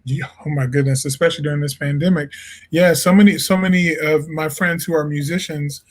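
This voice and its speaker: Man from illinois, fairly high voice, emphatic, jazz singer being interviewed. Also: a little fast. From Peoria, Illinois. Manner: expressive, emphatic storyteller. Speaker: jazz vocalist guest. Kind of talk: casual interview chat.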